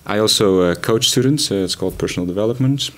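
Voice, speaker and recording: Very deep-voiced man, relaxed. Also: quick pace. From Portugal, raised in the Netherlands. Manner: relaxed, filler-heavy. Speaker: lecturer.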